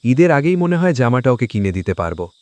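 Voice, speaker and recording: A man's voice: mid-range voice, sing-song, quick pace, no echo, natural voice. A man playing a poor, hardworking village father, earnest, meek and polite, in a moral-story cartoon. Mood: hopeful.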